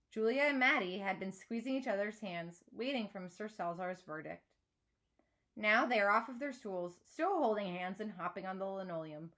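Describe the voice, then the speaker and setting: A woman reading a children's novel aloud like a teacher, in an expressive storytelling manner. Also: mid-range voice. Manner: clear storytelling with acted dialogue.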